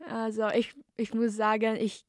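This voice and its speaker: A young woman from Taiwan, a guest student, answering podcast interview questions in careful learner's German, with many pauses, fillers and restarts. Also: fairly high pitch, completely flat delivery, darkish tone, no room sound, clean studio sound.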